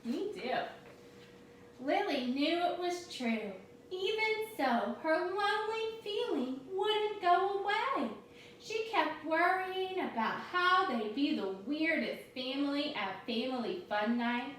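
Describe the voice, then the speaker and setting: High, singsong woman reading in an echoey room. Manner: expressive, addresses children directly, pausing to ask questions. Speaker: teacher reading a storybook to a class. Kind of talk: read-aloud story time for young children with questions to listeners. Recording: very echoey room, distant mic, faint noise.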